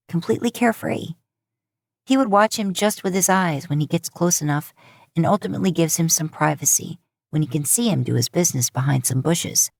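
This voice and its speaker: Low-voiced woman narrating a story. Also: gravelly, darkish tone, no room sound, clean studio sound. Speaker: podfic narrator.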